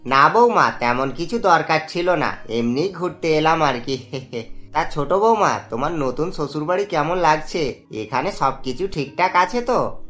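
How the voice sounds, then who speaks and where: A man's voice: a little high, expressive, measured pace, fairly bright tone, faint music behind. A man voicing a sly, meddling older neighbour in a family cartoon, with an insinuating, gossipy manner. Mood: falsely friendly. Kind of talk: cartoon voice acting.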